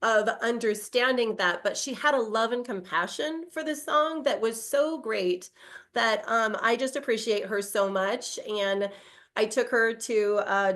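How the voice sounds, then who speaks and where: A woman's voice: medium pitch, moderate pace, fairly bright tone. A woman telling a heartfelt personal story over a video call, rambling, with many fillers and restarts. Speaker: guest singer.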